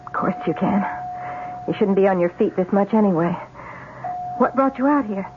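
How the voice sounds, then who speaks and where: A woman acting an earnest, dutiful hospital nurse in a 1970s radio drama, caring but exasperated, with an expressive, emphatic delivery. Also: medium pitch, normal speed, close to an everyday voice. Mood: concerned. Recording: tinny, noisy 1970s radio broadcast.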